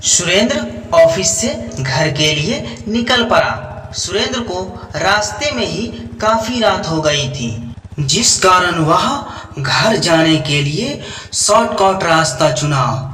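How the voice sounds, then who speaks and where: Slow, echoey male story narrator. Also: high voice, animated, sharp tone. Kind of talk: scripted children's story narration. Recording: echoey, distant, music behind.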